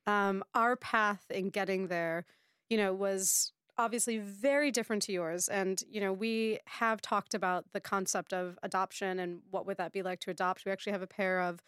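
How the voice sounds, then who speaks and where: An American woman hosts a casual podcast, friendly and full of questions. Her voice comes through smooth and clear in a dry, close recording. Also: medium pitch, steady tone, normal speed, a bit bright, dry recording. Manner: friendly, asks questions, laughs easily. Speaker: podcast host. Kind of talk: casual chat.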